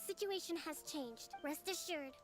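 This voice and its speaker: A woman's voice: high voice, a little slow. An actress playing a polite, composed young woman leader and strategist in an anime-style game, with formal phrasing. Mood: calm, formal. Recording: music behind the voice.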